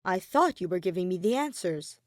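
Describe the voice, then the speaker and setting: A woman's voice: lowish voice, expressive, brisk, dry recording, close to an everyday voice. A woman voicing a stubborn, weary teenage boy in a dramatic audiobook reading, earnest and incredulous. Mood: puzzled, dry. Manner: earnest, protesting, dry.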